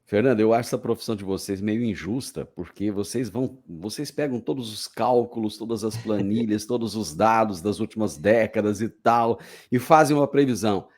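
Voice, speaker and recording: A man's voice: on the low side, fairly quick, few pauses, darkish tone. A man hosting a casual interview, asking friendly, joking questions. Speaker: host.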